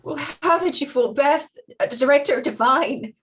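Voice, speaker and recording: A woman playing an anguished, protective mother with halting, emotional delivery in a staged play reading. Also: high-pitched, fairly bright tone. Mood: bewildered, hurt.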